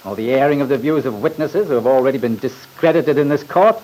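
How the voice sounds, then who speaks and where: A man's voice: mid-range voice, fast, darkish tone, lightly acted, poor audio. A man playing a pompous, badgering attorney in an old radio drama, formal and sarcastic. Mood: pompous, accusing. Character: scornful, badgering male attorney, pompous and theatrical.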